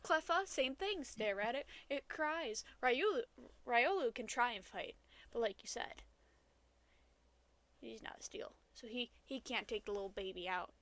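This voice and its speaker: A woman's voice: fairly high pitch, animated, unhurried, fairly bright tone, no room sound. A woman co-hosting a podcast in casual banter, with a playful, mock-dramatic way of talking. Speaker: podcast co-host.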